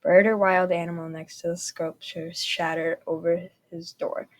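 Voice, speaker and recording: A woman's voice: on the low side, little pitch movement, normal speed, a little hiss or hum. A woman narrator reading a scripted story aloud.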